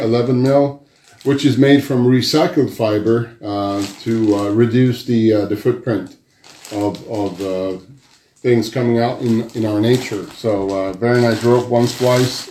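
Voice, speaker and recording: A man hosting a casual interview chat, speaking haltingly with many fillers and restarts. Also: mid-range voice, mellow, roomy sound. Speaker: podcast host.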